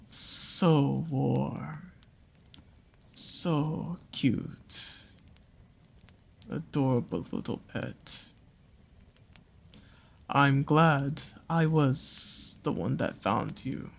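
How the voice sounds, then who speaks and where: A voice actor playing a sly, possessive naga monster in an intimate roleplay, speaking in an unhurried, soothing, coaxing way with doting pet names. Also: medium pitch, vocal fry, breathy edge, full character voice. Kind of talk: slow intimate roleplay audio with storytelling.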